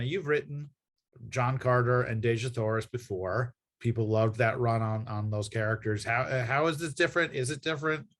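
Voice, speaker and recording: A man's voice: mid-range voice, a bit bright. A man hosting a casual promotional interview, speaking in an affable, chatty way. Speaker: interview host for a comics publisher.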